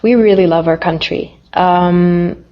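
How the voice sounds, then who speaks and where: Woman, young, lowish voice, chill n friendly, lots of um, kinda muffled lo-fi. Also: steady tone, slow pace. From Finland. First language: Finnish. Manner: friendly, easygoing, with frequent fillers. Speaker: interviewee. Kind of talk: casual interview answers.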